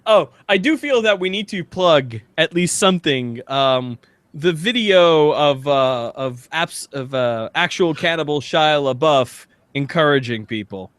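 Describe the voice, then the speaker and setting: A man's voice: a little high, expressive, a little slow, a bit bright, no room sound. A man chatting and bantering casually as a podcast co-host.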